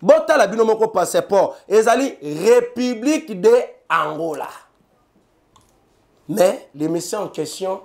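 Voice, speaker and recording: Emphatic Congolese man, raised-pitch commentary. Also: darkish tone. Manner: emphatic, repetitive, addresses viewers directly. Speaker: political commentator. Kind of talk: animated political commentary in French and Lingala.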